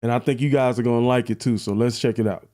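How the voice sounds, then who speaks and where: A man with a deep voice hosting a live reaction stream, chatty and laughing at his own jokes. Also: fairly even intonation, quick pace, warm tone. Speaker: livestream reaction host. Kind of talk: casual live-stream chat.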